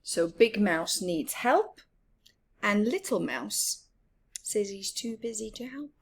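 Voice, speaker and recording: A woman's voice: mid-range voice, animated, slow, gravelly, breathy edge. A woman hosting storytime, reading aloud expressively to young children and adding chatty asides. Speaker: storytime host.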